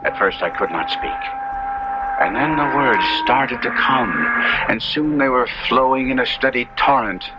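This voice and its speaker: A man's voice: mid-range voice, measured pace, close to an everyday voice. An actor playing a proud, self-reproaching young nobleman brought to ruin, narrating formally and dramatically in a 1970s radio drama. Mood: rueful. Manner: dramatic, formal, confessional storytelling. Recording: dull 1970s radio broadcast, music underneath.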